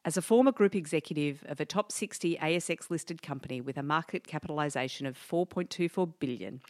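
A woman's voice: low voice, fast talker, a little mellow, dry recording, clean studio sound. An Australian woman hosting a podcast interview, bubbly and enthusiastic. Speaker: podcast host.

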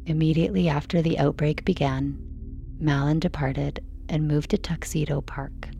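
A woman reading a scripted sleep story in a slow, soothing narration style. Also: low voice, steady tone, gravelly, mellow, lo-fi sound, faint music behind.

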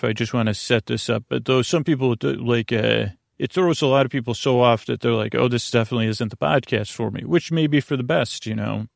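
A man with a creaky voice hosting a sleep podcast in a meandering, halting monologue that often interrupts itself. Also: medium pitch, dry recording, clean studio sound. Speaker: podcast host.

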